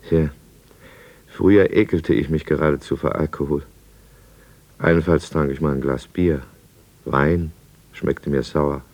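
An actor playing a downcast, self-pitying middle-aged merchant who drinks, confessing deliberately in a 1950s radio play. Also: male voice, low voice, vocal fry, darkish tone. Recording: 1950s radio drama studio recording.

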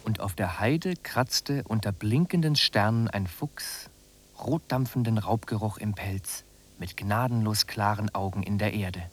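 An actor playing a solemn reciter of dark literary prose in a radio drama, deliberate and incantatory. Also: male voice, lowish voice, a little hiss or hum, lightly acted. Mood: solemn, intense.

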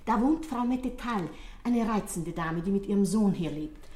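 A woman's voice: medium pitch, animated, normal speed, a little mellow, lightly acted. A woman playing an obliging, chatty concierge in a radio drama, with an eager, polite, gossipy manner. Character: obliging, chatty female concierge of an apartment house. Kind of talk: German radio drama acting. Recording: roomy, echoing sound.

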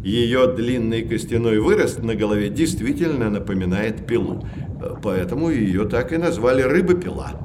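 An actor playing a learned professor who explains things articulately to children in an educational audio drama. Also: male voice, mid-range voice, lively intonation, moderate pace, noisy background, natural voice. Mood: explanatory. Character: learned male professor guiding children on sea voyage.

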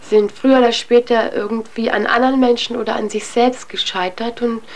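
A woman's voice: on the high side, steady tone, fairly quick. A young adult woman giving a candid personal account as an interviewee, speaking in a reflective, hesitant, colloquial way.

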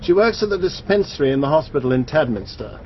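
An actor playing an easygoing, drily jocular English country squire with an upper-class accent in a radio drama. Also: male voice, a little high, very animated, quick pace. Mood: breezy. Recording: dull recording with faint background noise.

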